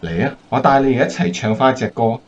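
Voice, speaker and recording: A male actor playing an earnest, steady young bomber captain in a radio drama. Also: mid-range voice, fairly quick, highs cut off, close to an everyday voice. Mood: calm, assured.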